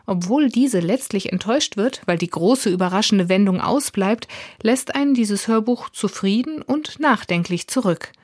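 A woman's voice: medium pitch, expressive, moderate pace, darkish tone, no room sound, studio quality. A woman with a standard German accent delivering a scripted radio review as a critic. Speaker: audiobook reviewer.

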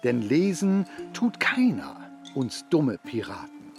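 A man's voice: medium pitch, huge pitch swings, takes it slowly, creaky, soft background music, full character voice. An actor playing a timid, hesitant foster father who stammers and evades in a children's radio play. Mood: nervous, reciting.

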